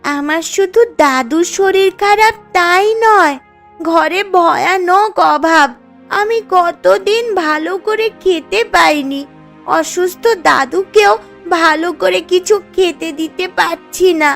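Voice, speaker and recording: A woman's voice: very high voice, slow pace, gravelly, fairly bright tone, exaggerated voice. A woman voicing a poor, earnest village girl who pleads, in a children's cartoon story. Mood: pleading. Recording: music playing under the voice.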